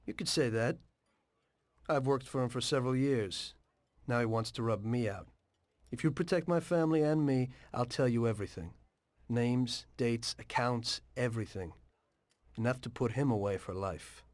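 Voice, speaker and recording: A man's voice: medium pitch, moderate pace, darkish tone, no room sound, clean studio sound, natural voice. Man playing a weary, plain-spoken American mobster. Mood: earnest bargaining. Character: weary former taxi driver turned mobster informant. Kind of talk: video game dialogue and narration.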